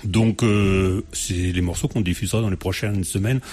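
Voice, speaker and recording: A low, slightly husky man talks with a guest in a radio studio. He is chatty and loose, laughs often and pads his sentences with fillers. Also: steady tone, normal speed, a little mellow. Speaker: radio show host. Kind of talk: live radio studio chat.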